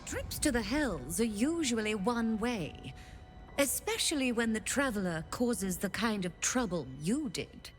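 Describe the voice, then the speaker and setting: Slow, lilting shrewd trader. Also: female voice, fairly high pitch, sing-song, soft background music. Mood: wry, impressed. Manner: wry, knowing. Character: shrewd, cool female infernal trader. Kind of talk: video game drama acting.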